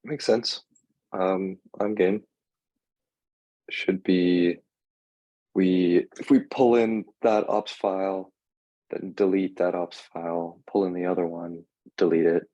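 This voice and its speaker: A man's voice: sits low, steady tone, slow, long pauses, mellow, dry recording, lo-fi sound. A man talking casually and agreeably in a technical online meeting, with a laid-back manner. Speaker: software working group participant. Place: online group call.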